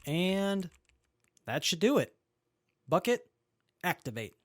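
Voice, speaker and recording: A man's voice: high-pitched, very animated, takes it slowly, dry recording, full character voice. Actor as young male mechanic, very dry sound. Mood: focused. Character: capable, good-humoured young male wasteland robot mechanic. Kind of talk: post-apocalyptic audio drama acting.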